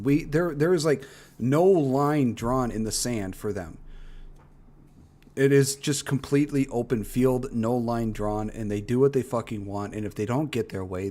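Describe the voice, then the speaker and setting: A man reacts to videos in a casual, opinionated way. His voice sits at an average pitch. He is blunt and peppers his commentary with profanity. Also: a little mellow. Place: livestream reaction recording.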